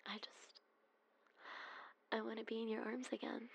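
A woman whispers close and soft, her voice husky and full of gravelly fry. She keeps her tone narrow and even, sighing between phrases as in an ASMR roleplay. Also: fairly high pitch, moderate pace. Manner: soft breathy whispering with sighs.

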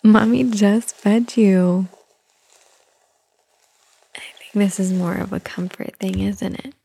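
A woman speaking in a soft, soothing ASMR whisper, slow and unhurried. Her voice has a mellow, darker tone with a gravelly fry running through it. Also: mid-range voice, steady tone, slight background noise. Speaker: ASMR performer.

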